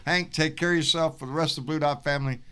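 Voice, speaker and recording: A man's voice: on the high side, fairly even intonation, fast, bright, forceful tone, smooth. A man hosting a casual live-stream, folksy and rambling, repeating his words. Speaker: host.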